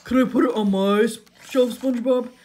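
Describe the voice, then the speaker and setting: A woman voicing a loud, dim, good-natured male cartoon starfish with a childlike, blustery manner. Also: fairly high pitch, fairly even intonation, fairly quick, husky, pitched above the performer's own voice. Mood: hopeful.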